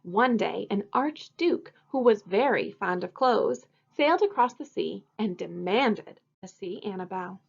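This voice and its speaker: A woman's voice: mid-range voice, animated, unhurried, vocal fry, few pauses, slightly rough. A woman who teaches first grade, reading a picture book aloud expressively for children. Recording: dull lo-fi audio.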